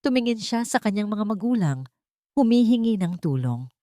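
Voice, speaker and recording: A woman's voice: medium pitch, very animated, moderate pace, dark tone, no room sound, studio quality. A woman narrating a scripted, dramatic love story.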